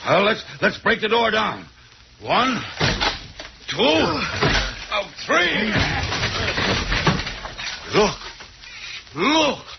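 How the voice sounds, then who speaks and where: An actor playing a blustering, self-important French policeman in an old radio horror drama, bossy and excitable. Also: high voice, drawn-out words, vocal fry, long pauses, heavily acted. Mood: urgent, commanding. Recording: muffled vintage radio with noisy crowd.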